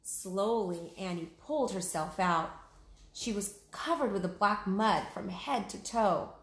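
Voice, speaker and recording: A woman's voice: medium pitch, unhurried. A woman hosting a read-aloud, narrating a children's storybook expressively. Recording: echoey room.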